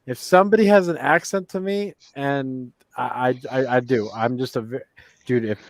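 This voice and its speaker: A man's voice: medium pitch, moderate pace, no echo. A man chatting casually on a live stream, tipsy and rambling, joking at his own expense. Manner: rambling, tipsy, self-mocking. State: drunk. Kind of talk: casual chat.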